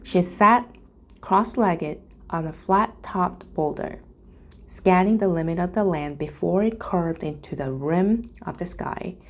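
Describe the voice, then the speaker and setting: A woman reading a storybook aloud as narration, in a careful, deliberate manner. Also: fairly low pitch. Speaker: reader.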